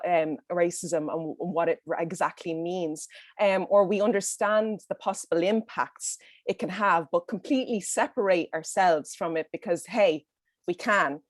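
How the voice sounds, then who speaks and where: A woman's voice: fairly low pitch, no room sound, studio quality. A woman with a Dublin accent speaking earnestly on an online panel over a video call, with frequent fillers and restarts. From Ireland.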